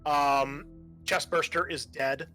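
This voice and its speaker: A man acting as game master, narrating a tabletop roleplaying game in a halting, improvised way. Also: a little high, fairly even intonation, bright, forceful tone, soft background music.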